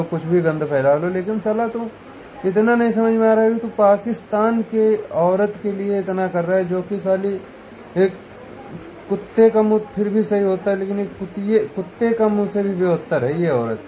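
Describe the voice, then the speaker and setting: A man giving a scornful, heated commentary, now muttering and now bursting out loudly. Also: sits high, a little hiss or hum, low-quality recording. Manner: scornful and heated, rising to loud outbursts. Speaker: online commentator. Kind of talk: ranting video commentary.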